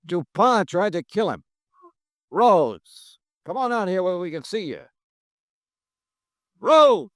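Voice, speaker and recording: An actor playing a brisk, skeptical man in a 1950s radio drama. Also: high voice, very animated, a bit bright, dry recording, clean studio sound, exaggerated voice. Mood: urgent.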